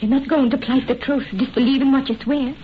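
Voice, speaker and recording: An actress playing a passionate, superstitious young Irish sweetheart in dramatic old-time radio melodrama. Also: female voice, high-pitched, fairly even intonation, brisk, close to an everyday voice. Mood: solemn, insistent. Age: young adult. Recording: muffled, noisy vintage radio recording.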